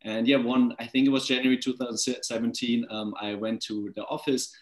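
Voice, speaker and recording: A man in his twenties from Germany with a German accent, speaking as a guest on a video call, relaxed with many fillers and restarts. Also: medium pitch, fairly quick, roomy sound. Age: 20s. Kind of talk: casual video call talk. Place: small indoor room at home. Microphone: laptop webcam on video call.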